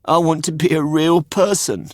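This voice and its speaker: A man's voice: on the high side, normal speed, no room sound, clean studio sound, pitched above the performer's own voice, close to an everyday voice. A man voicing an eager, earnest little wooden puppet boy in a children's storybook. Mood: excited, insistent.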